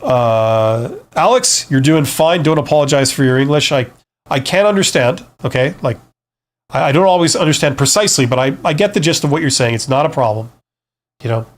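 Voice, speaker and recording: A man's voice: on the high side, lively intonation, a little fast. A man hosting a casual live stream, giving frank, conversational advice and often checking in with the listener as he goes. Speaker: live stream host, career advisor and former CEO.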